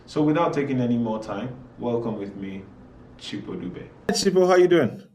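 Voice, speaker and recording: A man hosts a conversational interview at a mid pitch. He is measured and courteous, and he pauses often on ums as he frames his questions. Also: animated, darkish tone. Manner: measured, polite, with frequent ums. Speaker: podcast host.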